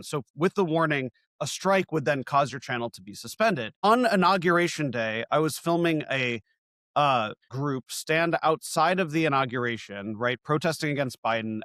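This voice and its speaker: A man who works as an independent video journalist, talking through a long story in a conversational interview and turning lively when he quotes other people. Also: mid-range voice, no echo. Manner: long-winded, animated when quoting others.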